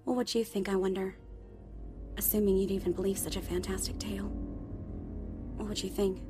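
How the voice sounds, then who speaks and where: A woman's voice: mid-range voice, flat delivery, brisk, lightly acted. A woman playing a young, wry and determined female ex-police operative and former thief in a dramatic audiobook, in a sardonic inner monologue. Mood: bitter, resolute. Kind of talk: dramatic audiobook character acting. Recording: music under the voice. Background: music.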